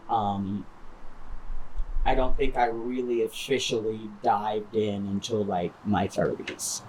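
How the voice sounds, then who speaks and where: A woman's voice: very deep voice, steady tone, slow, a little mellow, a little hiss or hum, rough recording. Playful woman in her fifties. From Chicago, USA. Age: 50s. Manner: playful, joking. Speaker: interviewee, entertainer. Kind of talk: documentary interview.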